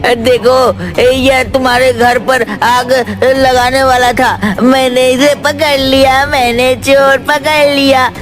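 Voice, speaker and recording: A man voicing a childlike, silly village madman in a cartoon story, with a singsong, drawn-out delivery. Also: very high-pitched, level tone, voice pushed up. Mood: childish pride. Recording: music behind the voice.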